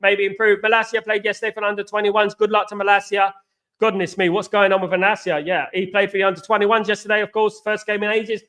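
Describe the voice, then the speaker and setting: A man's voice: high voice, little pitch movement, fast talker, fairly bright tone. An English man hosting a casual livestream, chatting loosely, reading out viewer comments and greeting viewers by name. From England. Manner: chatty, repeats "absolutely" and "big up". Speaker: livestream host.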